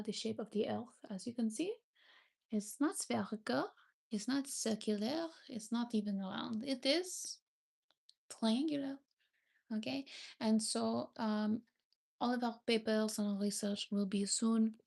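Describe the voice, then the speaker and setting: Young woman streamer with vocal fry. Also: a little high, mellow. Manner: chatty, thanks viewers often, hesitant with many ums. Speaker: livestreamer. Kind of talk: casual live stream chat, answering viewer comments.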